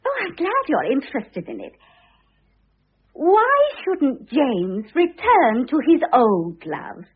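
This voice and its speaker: Through the thin sound of an old radio play comes a young second wife, all affected innocence. Her high voice swings wildly up and down. She gushes and wheedles theatrically, thoroughly absorbed in herself. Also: huge pitch swings, takes it slowly, husky, phone-quality sound, full character voice. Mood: coaxing. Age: young adult. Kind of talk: classic radio drama acting. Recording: thin band-limited old radio recording.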